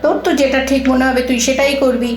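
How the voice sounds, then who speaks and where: A woman playing a troubled, protective elder sister in an audio drama, with emotional, expressive delivery. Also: high voice, quick pace, lightly acted. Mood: irritated, evasive. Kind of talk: Bengali audio drama acting. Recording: echoey room, distant mic, music bed.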